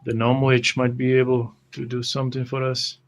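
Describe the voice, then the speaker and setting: A man playing a brooding, bearded fantasy adventurer in a tabletop game, muttering haltingly and thoughtfully. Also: mid-range voice, monotone, close to an everyday voice.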